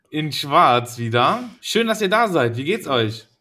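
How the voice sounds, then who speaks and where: A man's voice: fairly high pitch, sing-song, slow pace, bright, forceful tone. A man hosting a podcast in casual chat, playful and teasing, laughing often. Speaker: podcast host.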